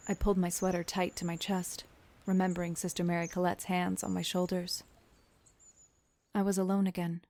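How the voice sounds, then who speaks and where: A woman's voice: lowish voice, little pitch movement, normal speed, darkish tone, a little hiss or hum, lightly acted. A woman playing a wounded, sardonic narrator who recalls her schoolgirl self in a confessional first-person audio drama. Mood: reflective, subdued.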